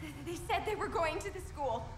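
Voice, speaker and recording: Traumatized female game survivor, extremely high, shaky, tearful, echoey room. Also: lively intonation, moderate pace. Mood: shaken. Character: terrified wounded-looking young woman survivor. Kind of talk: video game voice acting. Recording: roomy echo, noisy game ambience.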